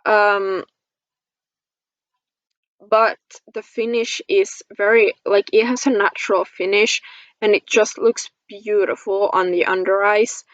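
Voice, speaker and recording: Slow-paced woman vlogger, gushing and casual. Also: medium pitch, flat delivery, no echo, dull audio. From Finland. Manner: gushing, giggly. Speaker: beauty vlogger. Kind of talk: casual product review chat to camera.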